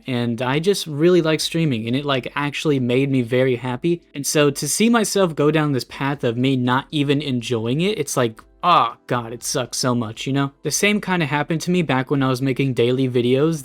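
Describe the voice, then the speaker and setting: A man in his late teens giving a casual, candid, self-deprecating monologue as a streamer, swearing freely and sounding discouraged. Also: medium pitch. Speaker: streamer and video creator.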